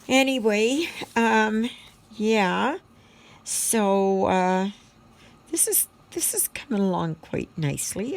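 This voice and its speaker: A woman chatting casually as she does her crafts, rambling in a halting, unhurried way and thinking aloud. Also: medium pitch, creaky. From Canada. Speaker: craft vlogger. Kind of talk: casual rambling chat while stitching.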